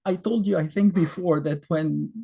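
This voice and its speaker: A man's voice: fairly high pitch, normal speed, vocal fry, mellow, husky. A man in his fifties from Egypt, a native Arabic speaker with an Egyptian accent, teaching a beginner math lesson in a casual, conversational way with rhetorical questions and fillers.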